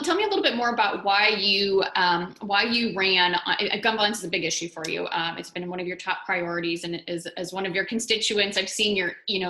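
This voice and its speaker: A woman's voice: lowish voice, sharp tone. An American woman hosting a conversational interview, asking informal, loosely phrased questions. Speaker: interviewer, event host.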